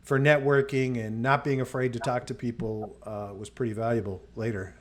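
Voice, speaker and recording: A man with a mid-pitched voice hosting a casual podcast interview. He fires off curious questions and adds encouraging little asides. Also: level tone, normal speed. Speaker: podcast host.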